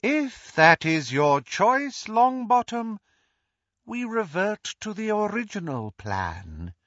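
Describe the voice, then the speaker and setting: A man voicing a cruel dark lord with a soft, hissing, silky voice, drawling his words slowly and mockingly. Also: high voice, big pitch swings, no echo, highs cut off, voice pushed up, heavily acted. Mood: cold, quiet. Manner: slow, drawn-out, mocking.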